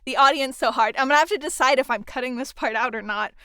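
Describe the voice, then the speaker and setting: Clear higher-voiced woman, close and dry. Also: fast talker, a bit bright, no echo. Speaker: interviewer and audio drama colleague. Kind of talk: casual interview chat.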